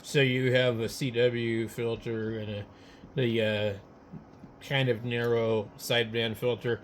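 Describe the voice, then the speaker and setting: A man with a mid-pitched voice talks shop in a casual, halting way, like an amateur radio operator thinking aloud. A clear layer of noise sits behind his speech. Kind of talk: casual technical chat.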